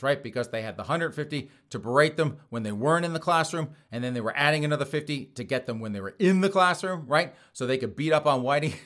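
A hoarse man hosting a show, reading news items and mocking them with frequent laughs. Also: mid-range voice, a bit bright. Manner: sarcastic, laughs often between phrases. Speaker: podcast host. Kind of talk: casual mocking commentary.

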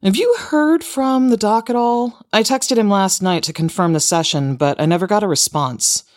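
A woman plays a practical American podcaster in an audio drama, brushing off worries in a casual, matter-of-fact way. Her voice is mid-pitched and recorded close and dry. The delivery is natural and chatty. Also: moderate pace, no room sound, natural voice.

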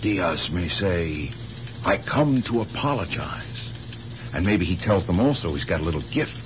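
Very deep-voiced, dogged police lieutenant. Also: male voice, very animated, measured pace. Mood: reasoning. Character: dogged, methodical male police lieutenant building a case. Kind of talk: radio mystery drama acting. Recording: dull, noisy old radio broadcast.

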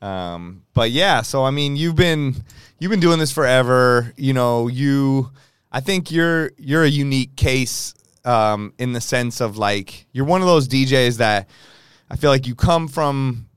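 An American man talks as a podcast host in easy, slangy conversation. His voice is smooth and clear at a middle pitch. It sounds close and dry, with a laid-back, casual way of putting things. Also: animated, measured pace, no echo. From Los Angeles. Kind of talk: casual interview chat.

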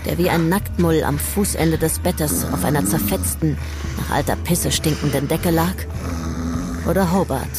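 An actress playing a cool, wry first-person narrator of a crime story, with dry descriptive delivery in an audio drama. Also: female voice, sits low.

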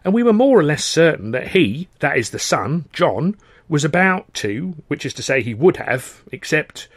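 A man's voice: a little high, big pitch swings, moderate pace, dry recording, studio quality, pitched above the performer's own voice. A man voicing a kindly, fussy little old Punch and Judy man, eager and anxious in his chatter. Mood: hesitant, regretful.

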